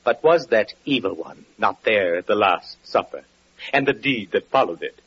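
Scornful, tormented wanderer, sharp-voiced. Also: male voice, fairly low pitch, expressive, normal speed, breathy edge. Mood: cold challenging. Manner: mocking, scornful, archaic declamation. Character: bitter, lame, evil-faced wanderer, tormented and scornful. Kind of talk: old-time radio drama acting. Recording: muffled, noisy vintage radio recording.

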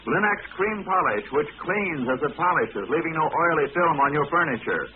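A male sponsor announcer in 1940s radio style, somewhat high in pitch. He reads advertising copy with polished, declamatory delivery. The recording is thin and tinny with faint noise. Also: animated, normal speed.

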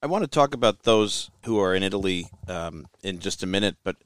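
A man with a Brooklyn New York accent hosts, asking easy conversational questions. His voice is very low, smooth and resonant. The sound is dry and close. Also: expressive, a little fast, dry recording. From United States. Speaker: podcast host. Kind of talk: interview conversation.

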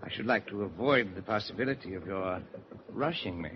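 An actor playing a suave Nazi spy in a 1940s radio drama, formally courteous while coolly threatening. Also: male voice, on the low side, lively intonation, a little fast, close to an everyday voice. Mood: cool menace. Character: suave, menacing male Nazi spy holding hostages. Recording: muffled, noisy 1949 radio broadcast.

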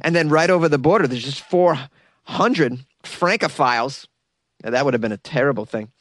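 A man's voice: fairly high pitch, normal speed, fairly bright tone, no echo. A man hosting a podcast, giving comedic commentary on a news story in a sarcastic, joking way with casual swearing. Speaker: podcast host.

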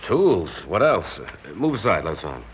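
An actor playing a kind, flustered building superintendent in a classic radio drama, hesitant and pleading. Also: male voice, low voice, huge pitch swings, unhurried, slightly rough, full character voice. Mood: brisk, evasive. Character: soft-hearted, flustered male building superintendent. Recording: dull old radio recording.

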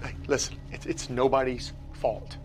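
An actor as a male group leader in an audio drama, earnest and peacemaking. His mid-pitched voice is insistent and reassuring, over faint music. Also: fairly even intonation, normal speed, natural voice. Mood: firm, calming.

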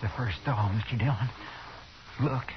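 An American actor playing a loyal, anxious and deferential deputy in a 1950s radio Western, earnest and folksy. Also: male voice, mid-range voice, unhurried, lightly acted. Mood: alarmed. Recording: noisy, dull 1950s radio recording.

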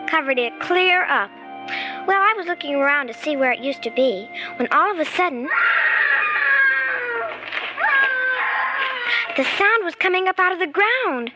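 A woman's voice: sits high, lively intonation, fairly quick, poor audio. An actress playing an earnest little girl who tells her story in a folksy, childlike way in an old radio drama. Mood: frightened. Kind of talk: 1950s radio drama acting, first-person narration. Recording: old radio recording, loud dramatic music.

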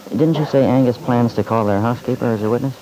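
An actor playing a plain-spoken, worried and sceptical friend, talking informally in an old-time radio drama. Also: male voice, mid-range voice, quick pace, mellow, slight background noise, close to an everyday voice, rough recording. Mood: doubtful, worried.

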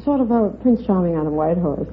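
A woman's voice: on the low side, lively intonation, brisk, phone-quality sound. A woman from New York politely answering questions as a contestant on an old radio quiz show. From New York, United States. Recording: thin, faintly noisy old radio recording.

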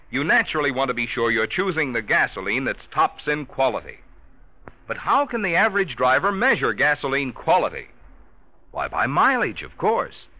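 An American man working as a radio announcer, reading a scripted 1940s commercial with emphatic sales patter. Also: on the high side. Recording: dull vintage radio recording.

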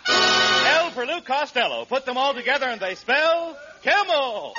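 A man working as a radio announcer, delivering a 1940s-style sponsor pitch and show introduction. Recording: dull old radio recording over loud music.

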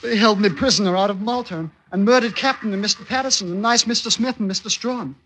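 A man's voice: high voice, fast, fairly bright tone, rough recording. An actor playing an earnest, polite sixteen-year-old sailor boy, deferential and innocent, in old-time radio drama style. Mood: pleading. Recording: old radio recording with noise.

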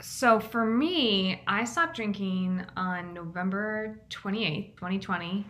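A woman, co-hosting a podcast in quick, laughing casual chat. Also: medium pitch, bright, forceful tone. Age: 30s. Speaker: podcast co-host.

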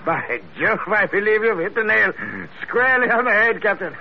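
A fat, scheming police inspector speaks with florid, chuckling politeness in 1940s radio drama style. The voice is fairly high and swoops freely on a dull, slightly noisy old recording. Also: male voice, animated, normal speed. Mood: sly amusement. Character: overweight, sly, theatrically courteous villainous police inspector.

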